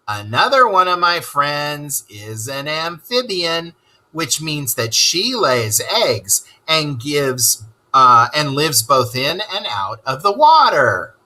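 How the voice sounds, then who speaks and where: A man's voice: fairly high pitch, bright, forceful tone, husky. A man teaching a children's read-aloud lesson in a playful storytelling way, speaking to young listeners as a voice-over on slides.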